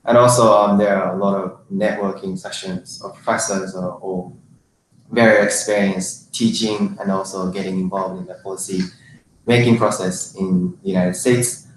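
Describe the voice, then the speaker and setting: A young man from Japan, a senior student speaking carefully with pauses on a panel. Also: deep voice, steady tone, recorded from a distance. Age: young adult. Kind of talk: casual panel chat. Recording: very echoey room with faint hiss.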